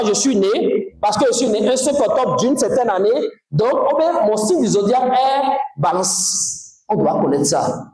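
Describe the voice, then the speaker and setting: Male teacher from Togo, high-pitched, emphatic and animated, very reverberant room. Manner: animated, emphatic, questions to the audience. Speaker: spiritual teacher. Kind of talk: lecture to a class. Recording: very echoey room.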